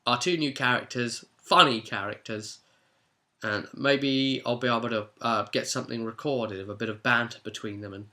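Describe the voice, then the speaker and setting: A British man with a bright, forceful voice at a middle pitch tells the story of a tabletop role-play session. He talks as the game master, chatty and animated, in a casual recap style.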